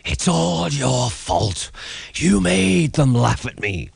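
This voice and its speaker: A boastful, scheming diesel engine from a children's storybook, acted out by a male reader. The voice is pompous and easily offended, swinging widely in pitch, in a dry, close recording. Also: big pitch swings, takes it slowly, vocal fry, slightly rough, no room sound, full character voice. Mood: shrieking outrage. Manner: pompous, indignant.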